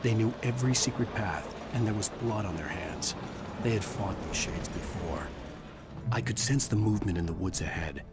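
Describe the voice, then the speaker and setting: An actor playing an intense, driven writer hero in a horror game, speaking in an earnest, grave and deliberate way. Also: male voice, lowish voice, lively intonation, natural voice. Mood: brooding, grave. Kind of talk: brooding scripted game narration and dramatic dialogue. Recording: loud music under the voice.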